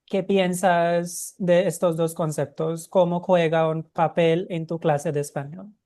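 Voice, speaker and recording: A man co-hosting an interview podcast, talking in a conversational way and often tagging questions with a quick "no?". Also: fairly high pitch, little pitch movement, warm tone. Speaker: podcast co-host. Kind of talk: casual interview.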